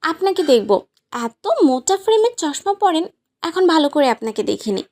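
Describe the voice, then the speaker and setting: A woman's voice: high-pitched, big pitch swings, normal speed, darkish tone. A woman playing a playful, sweetly bossy young new bride in a romantic audio story, with a pouty, teasing, insistent manner. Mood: stubborn, teasing.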